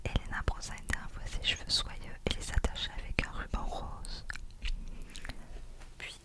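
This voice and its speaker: A woman reading a novel aloud in a soft, whispered ASMR style. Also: moderate pace. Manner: soft unvoiced whispering throughout. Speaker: ASMR reader.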